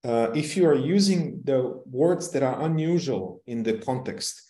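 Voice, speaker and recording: A middle-aged man who is not a native English speaker, explaining technical things informally and haltingly on a video call. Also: mid-range voice, animated, mellow. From non-English-speaking country, long resident in Canada. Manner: hesitant, halting, searching for words. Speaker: webinar presenter, technical expert. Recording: roomy, echoing webcam call.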